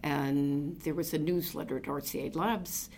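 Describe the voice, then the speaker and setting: An older woman from New Jersey with a deep, gravelly voice looks back on her family in an interview. She wanders through her memories and often pauses on "um" and "uh" as she recalls details. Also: fairly even intonation, darkish tone, a little hiss or hum. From New Jersey, USA. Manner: meandering, reflective storytelling with many fillers. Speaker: oral history interviewee. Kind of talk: reminiscing conversation.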